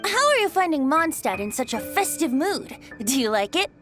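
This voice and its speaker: A woman's voice: sits high, sing-song, normal speed, a bit bright, soft background music. A woman voicing a cheeky, boyish bard in a video game, theatrical, flowery and playfully smug. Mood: teasing.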